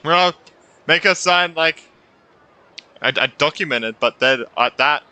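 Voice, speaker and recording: A man's voice: on the high side, measured pace, pushed and bright. A man doing casual live gameplay commentary, reacting in a slangy, sweary way. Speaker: video game streamer.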